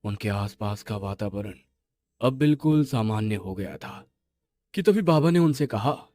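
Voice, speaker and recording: A man's voice: lowish voice, lively intonation, measured pace, dark tone. A man narrating a scripted horror story as the storyteller.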